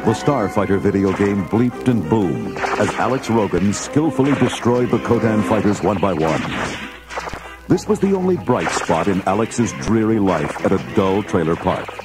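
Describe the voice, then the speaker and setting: Animated low-voiced male storybook narrator. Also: normal speed, music in the background, low-quality recording. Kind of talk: scripted children's read-along narration.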